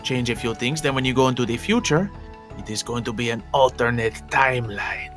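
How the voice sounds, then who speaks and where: A man playing an eccentric guild mage in a game roleplay, explaining things in a pedantic, measured way with sudden exclamations. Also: mid-range voice, a bit bright, faint music behind, lightly acted. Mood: explanatory. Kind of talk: improvised video game roleplay.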